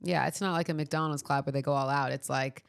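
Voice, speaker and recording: A woman's voice: low-pitched, steady tone, fast, creaky, clear, resonant tone. A woman co-hosting a podcast, sniffly from a cold, chatting in a gushing way with lots of fillers. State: sniffly, a bit under the weather. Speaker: podcast co-host. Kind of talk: casual chat.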